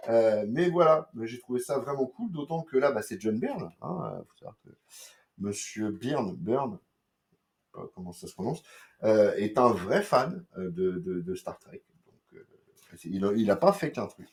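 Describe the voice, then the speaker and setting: A man's voice: mid-range voice, animated, moderate pace, darkish tone. A man from France giving a casual, rambling comics review, full of fillers, slang and swearing.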